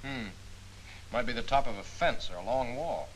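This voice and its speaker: An actor playing a skeptical, probing intelligence officer in a 1940s training film, questioning dryly. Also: male voice, medium pitch, animated, normal speed, natural voice, low-quality recording. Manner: dry, questioning, slightly wry. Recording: 1940s film soundtrack with faint hiss.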